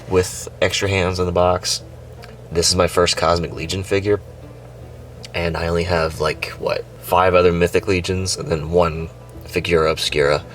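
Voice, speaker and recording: A young man with a nasal, congested voice, chatting casually and excitedly as he unboxes and reviews a toy. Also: low voice, a little hiss or hum. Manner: chatty, excited, rambling with fillers. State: sick and congested. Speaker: toy reviewer, channel host.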